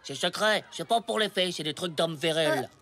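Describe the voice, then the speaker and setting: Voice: mid-range voice, moderate pace, a crowd in the background, lightly acted. An actor voicing a cocky, naive ten-year-old boy who boasts in slang in a French cartoon. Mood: boastful. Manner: boastful, slangy, swinging between swagger and bafflement. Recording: music behind the voice.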